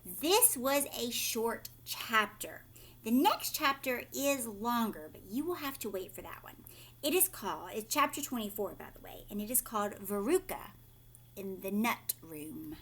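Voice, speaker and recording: A woman's voice: a little high, lively intonation, a little slow, a bit bright. A woman teacher reading a storybook aloud expressively and explaining it simply to children, often with questions.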